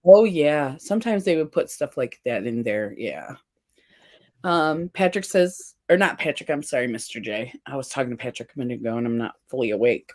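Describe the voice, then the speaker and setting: A woman's voice: extremely low pitch, lively intonation, brisk, darkish tone, dry recording, clean studio sound. A woman hosting a casual livestream, chatting and reading viewer comments aloud. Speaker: livestream face paint host.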